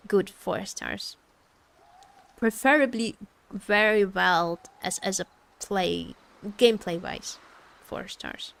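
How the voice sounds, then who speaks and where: A woman's voice: medium pitch, gravelly, pushed and bright. A woman game streamer chatting casually with viewers in a playful, teasing way as she reads and answers their comments. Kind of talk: casual livestream chat with gaming commentary. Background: background music.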